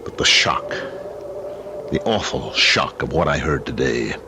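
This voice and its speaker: An actor playing a frustrated, luckless young husband and store clerk in an old-time radio drama, theatrical and emphatic. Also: male voice, very deep voice, expressive, unhurried, noisy background, exaggerated voice. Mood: despairing, grave. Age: young adult. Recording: vintage radio drama recording.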